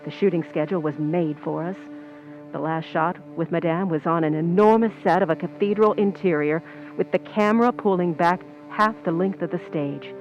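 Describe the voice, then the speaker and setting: A woman's voice: fairly low pitch, normal speed, lo-fi sound, soft background music, lightly acted. A woman playing a brassy, plain-spoken film stand-in who schemes and confides in an old-time radio drama. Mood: confiding, tense. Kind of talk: old-time radio drama recreation, dialogue and confessional narration.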